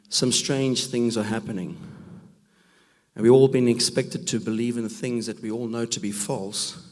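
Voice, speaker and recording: A man with a rough, gravelly voice from a cold, giving a preaching talk at a men's conference, emphatic and full of rhetorical questions. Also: mid-range voice, darkish tone. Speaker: conference speaker. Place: church hall. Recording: long reverb of a large hall.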